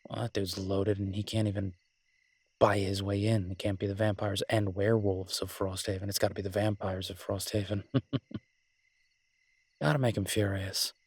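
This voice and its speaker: Husky, low-voiced romantic werewolf boyfriend, played by a man. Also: little pitch movement, a little mellow, no room sound. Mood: amused, gossipy. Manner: tender, teasing, halting conversational delivery. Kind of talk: romantic roleplay audio, intimate one-sided dialogue.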